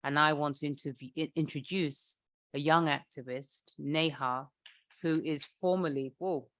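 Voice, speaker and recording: A woman moderating a formal event, making introductions in a polite, somewhat halting way. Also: sits low, fairly even intonation, fairly bright tone, no echo, clean studio sound.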